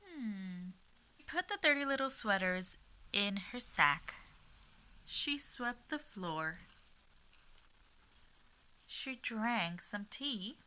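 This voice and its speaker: A woman reading a storybook aloud to young children, expressive and child-directed, pausing often and addressing her listeners. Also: mid-range voice.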